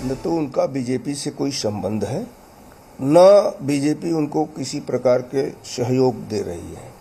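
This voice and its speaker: A man's voice: medium pitch, expressive, slow pace, vocal fry, mellow, slightly rough, soft background music. A man giving a statement to the press as a political party spokesman.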